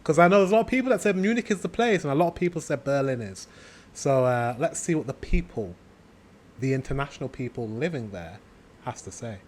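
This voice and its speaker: A man's voice: medium pitch, expressive, a little fast, darkish tone. A man with a British accent hosting a reaction video, chatting to viewers and thinking aloud. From Britain. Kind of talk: casual reaction commentary.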